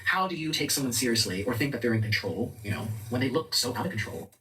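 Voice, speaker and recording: Fast-talking man, slightly off-mic. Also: medium pitch, animated, sharp tone, recorded from a distance, low-quality recording. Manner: rapid, chatty, with many likes. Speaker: content creator. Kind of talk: casual talking-head chat.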